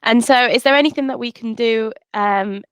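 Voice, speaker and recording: A woman interviewer asking polite, measured questions with brief fillers. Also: fairly high pitch, clear, resonant tone, no echo.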